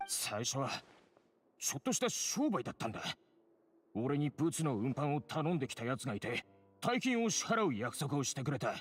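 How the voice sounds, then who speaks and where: Agitated shifty crook, fast and swooping. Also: male voice, medium pitch, very animated, breathy edge. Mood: anxious, confessing. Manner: agitated, protesting. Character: shifty, defensive male petty criminal under questioning. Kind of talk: Japanese game voice acting. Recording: game audio with music behind.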